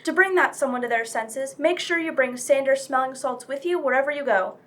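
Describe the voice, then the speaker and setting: A woman's voice: a little fast, fairly bright tone. A woman playing an earnest old-time radio announcer reading a scripted commercial. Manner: earnest sales pitch.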